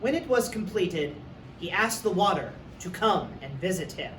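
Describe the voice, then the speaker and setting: A man's voice: sits high, animated, normal speed, fairly bright tone. A man who works as a teacher, reading a story aloud for young students. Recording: echoey room, distant mic, faint noise.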